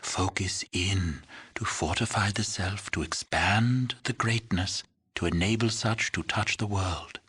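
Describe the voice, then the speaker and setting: A man's voice: deep voice, pushed and bright, breathy edge, exaggerated voice. An actor playing a frail old village shaman in a video game, mystical and solemn, speaking in ceremonious, cryptic riddles. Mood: solemn, benevolent. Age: older. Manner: slow, ceremonious, cryptic, archaic phrasing. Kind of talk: scripted video game voice acting.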